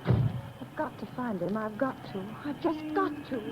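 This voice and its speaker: A woman's voice: on the high side, expressive, a little slow. An actress playing an anxious, determined, devoted young woman in an old radio drama, urgent and earnest. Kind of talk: 1940s radio acting. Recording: muffled, noisy old radio recording.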